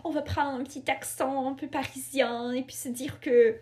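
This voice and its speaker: A woman's voice: sits high, fairly even intonation, a little mellow. Chatty young Québécoise woman, laughing easily. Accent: Québécois French. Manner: chatty, quick laughs, frequent fillers and hedges. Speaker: guest, writer. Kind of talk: casual podcast conversation.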